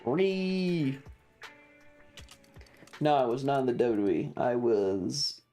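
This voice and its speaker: A woman livestreamer chatting casually over a video game in a blunt, sweary, deadpan manner, with game music behind her. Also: a bass voice, slow pace. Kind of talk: casual game streaming chat.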